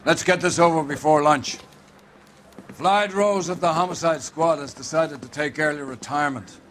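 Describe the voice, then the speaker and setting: An actor playing a brisk, authoritative police captain briefing his squad in a formal, declamatory manner in a video game. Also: a little high, fairly bright tone, a little hiss or hum, close to an everyday voice. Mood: wry, formal. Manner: commanding, declamatory.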